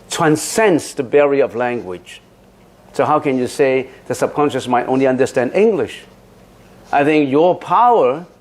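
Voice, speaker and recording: A middle-aged man who speaks Chinese as his first language, a Buddhist monk answering audience questions in a casual, chatty way, often repeating words and laughing. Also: medium pitch. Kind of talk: Dharma question-and-answer talk.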